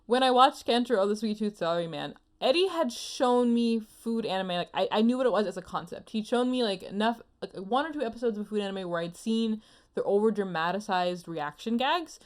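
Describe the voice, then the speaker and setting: A woman's voice: medium pitch, a little fast. A woman co-hosting a podcast, chatting casually in an effusive, emphatic, rambling way. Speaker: podcast co-host. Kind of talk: casual opinionated chat.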